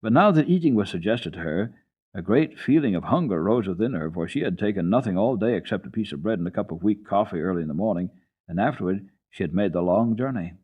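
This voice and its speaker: A male storyteller with a low, husky voice reads a children's book dramatically. His delivery is folksy and lively in pitch. It sounds like a muffled archival radio program. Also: fast talker.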